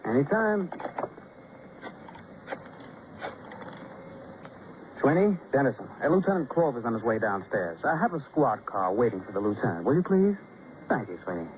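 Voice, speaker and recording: Actor as a chatty cop sidekick, tinny radio. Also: male voice, medium pitch, big pitch swings, brisk, natural voice. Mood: officious, defensive. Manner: roundabout, folksy, casual. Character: chatty, obliging, slightly exasperating police sidekick. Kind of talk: 1940s-50s radio drama acting. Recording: tinny, hissy old radio broadcast.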